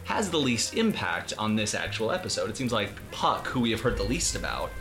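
A man giving casual commentary, speaking in an amused, halting way. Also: lowish voice, big pitch swings. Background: music.

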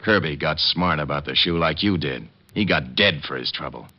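An actor playing a menacing, cold-blooded hired thug with tough, slangy speech in an old radio crime drama. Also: male voice, very deep voice, animated, normal speed, exaggerated voice. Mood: cold, smug. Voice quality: gravelly. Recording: dull old radio recording.

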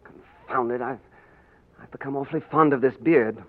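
An actor as a jovial English gentleman. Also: mid-range voice, normal speed, natural voice. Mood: amused. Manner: light, jovial, self-mocking. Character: easygoing young English gentleman posing as king. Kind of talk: 1940s radio acting. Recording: tinny old radio broadcast with faint hiss.

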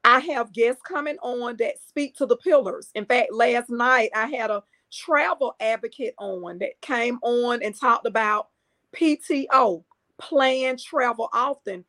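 A woman's voice: on the high side, a little slow, pushed and bright. A middle-aged woman, a coach and speaker, talking earnestly in a podcast interview and repeating her points for emphasis. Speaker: guest, empowerment coach.

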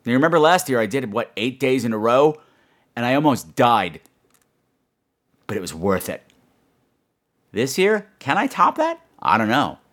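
A man's voice: medium pitch, big pitch swings, normal speed, pauses often, fairly bright tone. A man hosting a horror podcast, chatting to his listeners in a campy, playful, self-mocking and emphatic way. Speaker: podcast host.

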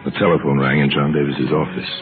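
A man narrating an old-time radio mystery as host, measured and storytelling in his delivery. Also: very deep voice, little pitch movement, loud music behind the voice. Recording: tinny old radio broadcast.

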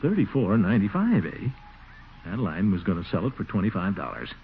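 An actor playing a pompous, blustery, easily flustered middle-aged water commissioner in a 1940s radio comedy, on a noisy, muffled recording. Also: male voice, low-pitched, huge pitch swings, fairly quick, full character voice. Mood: smug, scheming. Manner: blustery, self-important, chuckling.

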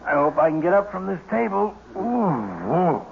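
Greedy jolly doctor, old-time radio comedy, effusive, highish voice, dull muffled recording. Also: male voice, very animated, moderate pace, gravelly, heavy background noise, full character voice. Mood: blissful, stuffed. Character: jovial, gluttonous doctor, the husband's bantering friend. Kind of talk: 1940s radio comedy acting.

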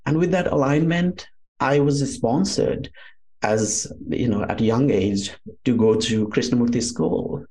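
A man's voice: mid-range voice, measured pace, darkish tone. A man with a Nepali accent speaks in a mid-range voice as a podcast guest. He tells his story conversationally, wandering from thought to thought with frequent filler phrases. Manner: rambling, peppered with "you know". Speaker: podcast guest, Ayurvedic doctor.

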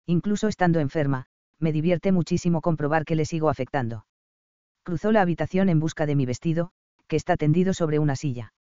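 A man narrating a first-person romance audiobook in a calm, even storytelling manner, in a slightly dull, dry recording. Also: on the high side, a little fast, clear, resonant tone, no echo.

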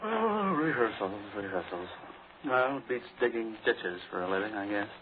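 An actor playing a dry, world-weary radio actor in a 1940s radio drama. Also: male voice, fairly low pitch, animated, moderate pace, natural voice. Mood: wry. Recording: muffled, noisy 1940s radio recording.

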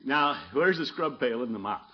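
An actor playing a pompous, jovial middle-aged uncle and town commissioner in a 1950s radio sitcom, blustery and pleased with himself. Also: male voice, medium pitch, animated, normal speed, breathy edge. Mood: cheerful, secretive. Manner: self-satisfied, chuckling, blustery. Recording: muffled 1950s radio recording.

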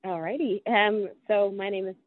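A woman's voice: medium pitch, steady tone, moderate pace. A woman in her twenties with a Dublin Irish accent, chatting as an interview guest with many ums and restarts. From Ireland. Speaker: podcast guest. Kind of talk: casual interview chat. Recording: tinny phone-like line.

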